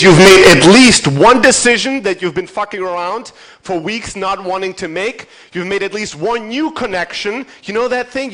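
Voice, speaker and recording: A man's voice: high-pitched, a bit bright. A man in his thirties from Germany gives an informal motivational conference talk, swearing freely, poking fun at himself and checking in with his listeners. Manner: profane, self-deprecating, checks in with the audience. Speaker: conference keynote speaker.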